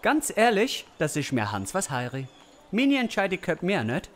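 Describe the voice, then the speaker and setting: Man playing a high, swooping, pompous village overlord. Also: huge pitch swings, normal speed, fairly bright tone, full character voice. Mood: smug, bossy. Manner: waffling, self-important, hesitant with many tag questions. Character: Gessler, pompous, dithering village overlord who loves comfort. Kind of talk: comic Swiss-German audio play acting.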